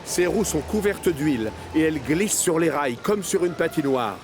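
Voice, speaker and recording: A man narrating a scripted children's story as an expressive storyteller. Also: on the high side, moderate pace. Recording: music behind narration. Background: music.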